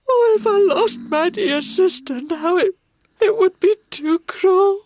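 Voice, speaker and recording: An actress playing an anxious, devoted older Scottish sister in a radio drama, husky, fretful and emphatic. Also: female voice, very high-pitched, expressive, slow, a little mellow, full character voice. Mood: distraught, pleading.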